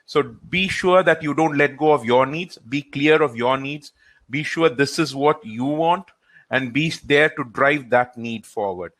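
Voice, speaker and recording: A man from India, a trainer answering audience questions in a live session, relaxed and halting with frequent fillers. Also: mid-range voice. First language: Hindi or Gujarati.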